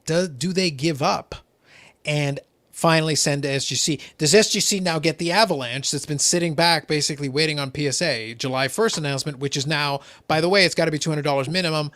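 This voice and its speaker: A man hosting a casual hobby talk show, explaining in an animated way, often repeating a phrase as he starts. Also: on the high side, fairly quick, dry recording, clean studio sound. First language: not English. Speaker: podcast host.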